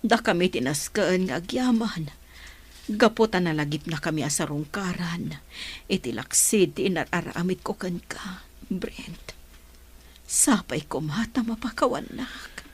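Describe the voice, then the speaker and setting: Babaeng gumaganap na pagod na nagsisising ina. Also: female voice, fairly low pitch, lively intonation, a little slow, husky. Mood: pleading. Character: aging remorseful mother, weary. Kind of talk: radio drama acting.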